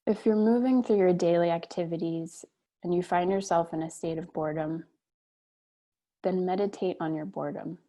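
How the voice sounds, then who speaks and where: A woman leading a guided meditation on a video call, speaking gently and unhurriedly with long pauses. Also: on the low side.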